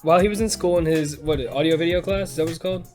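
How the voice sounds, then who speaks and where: A man's voice: fairly high pitch, very fast. Casual, sweary man over guitar music. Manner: profane, rambling, slangy. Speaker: podcast host. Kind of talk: casual podcast chat. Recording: music playing behind the voice.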